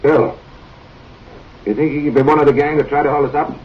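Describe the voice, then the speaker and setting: An actor playing a stern, suspicious frontier sheriff who gives curt, gruff orders, heard on muffled old film audio. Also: male voice, on the high side, steady tone, fairly quick, recorded from a distance, a little hiss or hum, close to an everyday voice.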